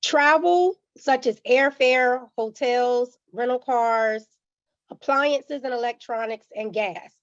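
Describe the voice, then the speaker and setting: A woman, under the weather with a slightly raspy voice, giving a teacherly personal finance presentation to a church community and often checking in with "okay?". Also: on the high side, slow pace, dry recording, dull audio. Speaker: guest speaker on personal finance.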